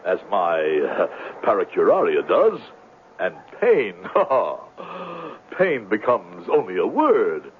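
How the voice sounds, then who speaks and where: A man's voice: lowish voice, huge pitch swings, slow, heavily acted. Pompous, swooping old-radio con man. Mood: grandly persuasive. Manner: pompous, florid, theatrical. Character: grandiloquent, down-on-his-luck male con man posing as doctor. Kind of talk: 1970s radio drama acting. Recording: tinny, noisy 1970s radio recording.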